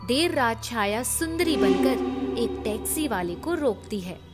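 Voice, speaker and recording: A woman storyteller giving a dramatic, measured scripted narration of a horror story. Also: a little high, lively intonation. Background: background music.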